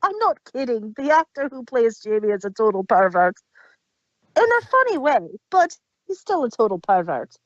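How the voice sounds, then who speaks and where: A woman with a slightly high voice talks casually as a podcast co-host. The sound is close and dry, with a dull, lo-fi edge. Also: sing-song, moderate pace, no room sound. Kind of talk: casual chat.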